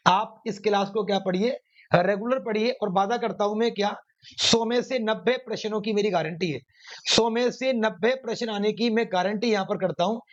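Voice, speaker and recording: A man lectures students as an exam coach. He drives points home by repeating phrases and posing questions that he answers himself. The recording is somewhat muffled and lo-fi. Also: high voice. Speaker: maths teacher running online exam classes. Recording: dull, lo-fi sound.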